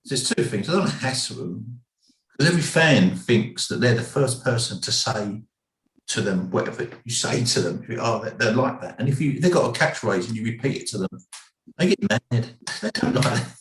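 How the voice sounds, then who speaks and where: An English man chatting easily on a video call, agreeable and quick to chuckle. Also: mid-range voice, lively intonation, roomy sound, distant mic, poor audio. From England. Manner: easygoing, agreeable, chuckles often. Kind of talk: casual chat on a video call.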